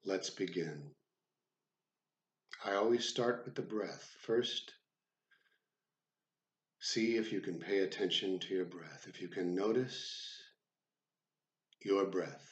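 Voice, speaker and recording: A man with a low voice leads a guided body scan. He speaks very slowly and deliberately, leaving frequent gaps between short phrases. The sound is roomy, with clear echo around his voice. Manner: measured, deliberate, with frequent pauses. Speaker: psychotherapist guiding meditation. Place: room with the door left open.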